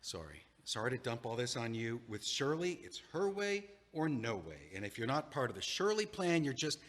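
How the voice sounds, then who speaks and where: A man's voice: mid-range voice, big pitch swings, moderate pace, a bit bright. A man playing a flustered rambling young guy. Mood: apologetic. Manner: rambling, stammering, exasperated. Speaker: playwright reading stage directions and acting. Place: performing arts theater. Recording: reverberant theater stage.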